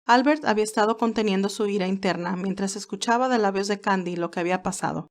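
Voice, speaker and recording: A woman's voice: mid-range voice, quick pace. Woman narrating a romance story.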